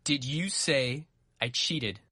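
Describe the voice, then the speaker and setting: A woman's voice: rumbling low, takes it slowly, pushed and bright, slightly rough, no echo, clean studio sound, pitched below the performer's own voice, full character voice. A woman voicing a proud, stubborn young man, insistent and combative, in a dramatic story reading. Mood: indignant.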